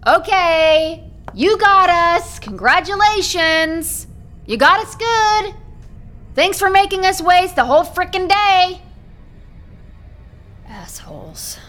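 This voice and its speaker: A woman's voice: very high-pitched, animated, takes it slowly, long pauses, a bit bright, faint music behind, heavily acted. An actress playing a sarcastic, exasperated young woman trapped in an apartment, in a naturalistic audio horror drama. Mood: angry shouting. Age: young adult. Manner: sarcastic, bossy, naturalistic dialogue.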